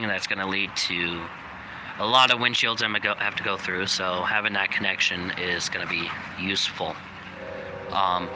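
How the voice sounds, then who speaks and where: A young man hosting a channel, giving a rambling casual update with many fillers, his voice slightly raspy from coughing. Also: low-pitched. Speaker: channel host. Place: outdoors, people walking by. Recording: noisy outdoor recording.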